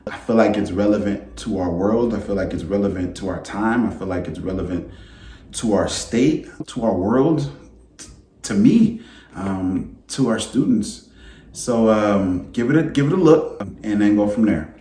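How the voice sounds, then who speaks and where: A man reading a children's picture book aloud as a school principal, in a deliberate, expressive way. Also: on the low side, slight background noise. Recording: roomy, echoey indoor sound.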